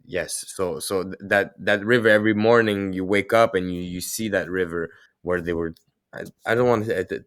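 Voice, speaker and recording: A man from Israel whose first language is Russian, answering interview questions in a halting way, with many restarts. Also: sits low, fairly even intonation, a bit bright, dry recording, clean studio sound. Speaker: guest, hockey player.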